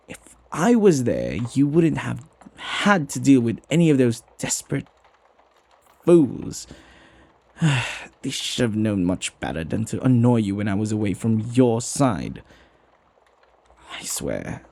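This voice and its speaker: A man playing a flirty, devoted butler in an intimate ASMR roleplay, with genteel, formal phrasing and a husky voice. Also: medium pitch, big pitch swings, slow, pauses often, darkish tone, full character voice. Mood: indignant, annoyed. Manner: formal, genteel phrasing with teasing familiarity and sighs. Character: flirty, gossipy, devoted butler, jealously protective of master.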